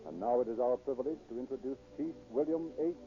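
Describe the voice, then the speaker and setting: A man's voice: mid-range voice, quick pace. An American man announcing and narrating from a script in formal 1930s radio style. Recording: dull 1930s radio recording.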